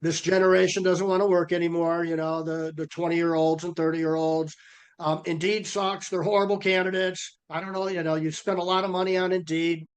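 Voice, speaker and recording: A middle-aged man presenting a webinar on a video call, a bit hoarse from a cold, with a folksy, energetic sales-coach delivery. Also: a little high, a little fast. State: losing his voice from a cold.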